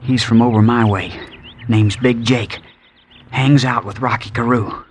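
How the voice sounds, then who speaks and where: An actor playing an earnest young prospector with a shoulder wound in a 1950s radio drama. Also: male voice, medium pitch, steady tone, measured pace, slight background noise, close to an everyday voice.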